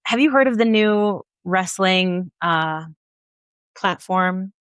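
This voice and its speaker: A woman's voice: lowish voice, expressive, slow, clean tone, no echo. An American woman hosting a casual, conversational interview in an informal way, with the odd chuckle. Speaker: interview host.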